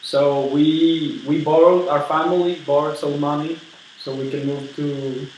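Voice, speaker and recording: A man playing an eager, polite steel tycoon in a role-played interview, earnest and halting as he repeats words. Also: a little high, little pitch movement, a little mellow, recorded from a distance, dull audio, close to an everyday voice. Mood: earnest, reflective. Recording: very echoey room with background noise.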